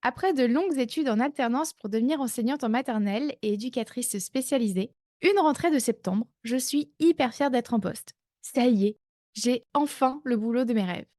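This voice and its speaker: A woman in her thirties talks through her own coaching podcast in a slightly high voice. She chats casually, with fillers and self-mocking asides. The sound is close and dry. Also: normal speed, a little mellow, dry recording. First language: not English. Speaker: life coach, podcast host.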